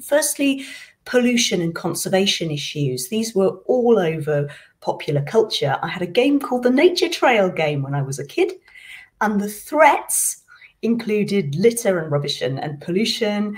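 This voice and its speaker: A woman's voice: mid-range voice, a little mellow. A woman from Britain, a poet, telling her story in a reflective way over a video call. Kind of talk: casual conversation.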